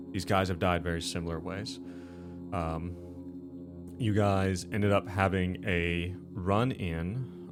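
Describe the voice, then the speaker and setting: Music plays behind a man with a very low voice. He hosts a tabletop game in a casual, rambling style, backtracking and correcting himself as he recaps. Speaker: host and game master of a tabletop game show.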